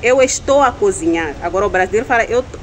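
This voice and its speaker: A woman's voice: medium pitch, lively intonation, fast, a little hiss or hum, poor audio. A young woman with a Mozambican Portuguese accent, chatting casually as a reaction video host, often adding tag questions and correcting herself mid-word.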